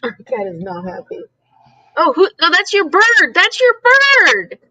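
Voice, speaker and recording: A woman's voice: very high voice, wildly swooping pitch, a little slow, pushed and bright, no room sound, rough recording. A giggly woman co-hosting a casual livestream chat, repeating words for emphasis. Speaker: co-host of a live reading sprint stream.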